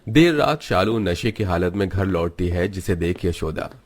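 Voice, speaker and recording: Low-voiced male storyteller. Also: lively intonation, moderate pace. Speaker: storyteller, narrator. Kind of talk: scripted story narration.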